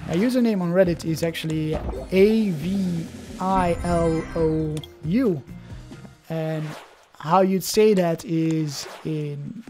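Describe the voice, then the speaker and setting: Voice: medium pitch, slow pace, warm tone, faint music behind. A young adult from Belgium, a native Dutch speaker with a Belgian Dutch accent, chatting casually in hesitant, restart-filled commentary while opening card packs.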